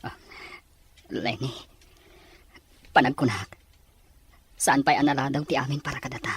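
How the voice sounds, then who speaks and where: A man playing a calm, conciliatory father in a radio drama, with an earnest, consoling delivery. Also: medium pitch, unhurried, breathy edge. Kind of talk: Ilocano radio-drama acting.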